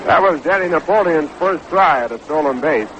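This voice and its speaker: A man doing measured, unhurried live baseball play-by-play commentary on a 1960s radio broadcast. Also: on the high side, animated, muffled recording, background noise. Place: stadium broadcast booth.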